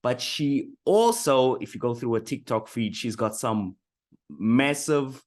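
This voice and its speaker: A man's voice: medium pitch, lively intonation, a little slow. A man hosting a marketing interview show, upbeat, hyping up his guest and often stumbling over his words. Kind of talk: casual interview chat.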